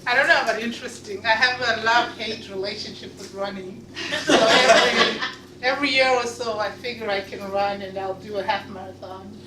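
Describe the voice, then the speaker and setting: A woman's voice: a little high, fairly even intonation, brisk. A woman originally from Zimbabwe, answering questions at a club meeting in an easygoing, laughing way. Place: meeting room. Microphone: far camera. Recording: echoey room, far off, background noise.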